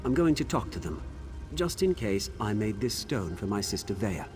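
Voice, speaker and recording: An actor playing a solemn, regretful exiled dark elf officer, telling his story gravely in a fantasy video game. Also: lowish voice, big pitch swings, moderate pace, faint music behind. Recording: long reverb like a ghostly message.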